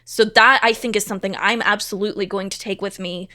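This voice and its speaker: Female interview guest, medium pitch, conversational, earnest, emphatic delivery. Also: fairly even intonation, fast talker, bright, forceful tone. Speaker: stage manager, interview guest.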